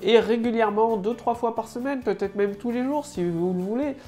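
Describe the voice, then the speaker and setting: A woman's voice: a little high, brisk, warm tone, soft background music. A woman with a head cold giving a casual marketing tutorial to camera, loose and full of fillers and restarts. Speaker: online marketing coach.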